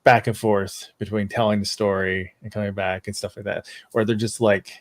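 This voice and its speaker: Low, dry-recorded man chatting. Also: flat delivery, moderate pace, no echo. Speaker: fan panelist. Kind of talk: casual chat.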